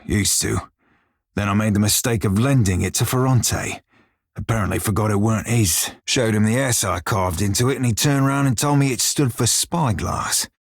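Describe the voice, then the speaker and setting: A roguish pirate crewmate from a video game, with a husky, lowish voice. He talks in salty seafaring dialect, wry and crafty but loyal to his captain. The sound is close and dry. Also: no echo. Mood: wry, jaunty. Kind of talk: scripted video game dialogue.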